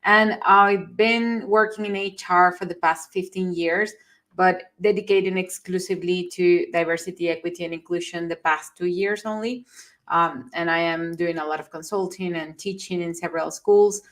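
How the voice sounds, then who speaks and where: A woman from Venezuela with a lowish voice introduces herself to an online panel. She speaks in a steady, friendly way. She is heard through a video call mic. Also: moderate pace.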